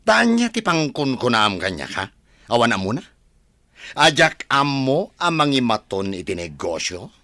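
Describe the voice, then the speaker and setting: Male actor, menacing young schemer, Ilocano accent, radio drama, swaggering, slow delivery, dry close sound. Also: mid-range voice, very animated, bright, forceful tone, dry recording. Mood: defiant. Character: scheming, brash young man, a menacing village troublemaker.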